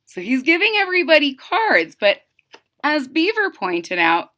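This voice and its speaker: A woman reads a picture book aloud for young children in a high, bright voice. She takes her time and is expressive, dropping in casual, simple explanations as she goes. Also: unhurried. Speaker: storybook reader.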